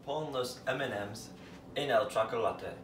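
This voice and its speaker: A young man with an American accent gives recipe instructions slowly and deliberately, as a learner of the language. His voice is of medium pitch. The room is echoey, with loud noise behind him. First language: English. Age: young adult. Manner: deliberate, halting learner's Spanish. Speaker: cooking vlog co-host. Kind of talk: rehearsed recipe narration. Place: indoors, home kitchen and dining room. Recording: echoey home kitchen, loud background noise.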